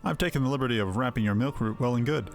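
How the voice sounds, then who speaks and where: A man's voice: mid-range voice, racing, creaky, faint music behind, lightly acted. A man voicing a hearty, chatty retired adventurer and innkeeper in a fantasy game, with folksy old-fashioned phrasing. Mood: jovial, friendly.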